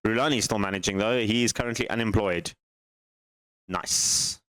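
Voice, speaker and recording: A man's voice: on the low side, moderate pace, fairly bright tone, clear, resonant tone, dry recording. A man hosting a gaming channel, casually commenting on his game save as he thinks aloud, rambles and questions himself.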